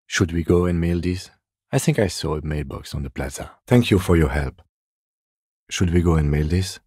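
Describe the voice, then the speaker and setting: Restrained police detective, middle-aged, very low mellow voice, dry formal delivery, video game dialogue. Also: male voice, slightly rough, dry recording. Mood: polite, dry. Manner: measured, formal, restrained, dryly polite. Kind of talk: dramatic game dialogue, separate voice lines.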